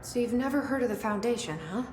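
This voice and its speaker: A woman's voice: on the high side, fast, a little mellow, soft background music, natural voice. Woman acting a no-nonsense young female leader, wry, direct, questioning, video game dialogue, long hall reverb. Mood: dry, probing. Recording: echoey hall, long reverb, background noise.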